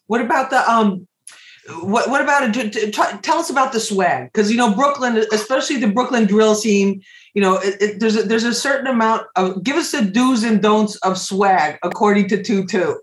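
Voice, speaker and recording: A man's voice: high-pitched, moderate pace, roomy sound. A man hosting a casual interview, rambling through his questions with many restarts. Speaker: radio host.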